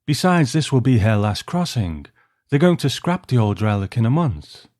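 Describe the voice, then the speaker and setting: Smug, mellow man as a travel agent. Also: mid-range voice, sing-song, normal speed, dry recording, studio quality, lightly acted. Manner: dismissive, knowing.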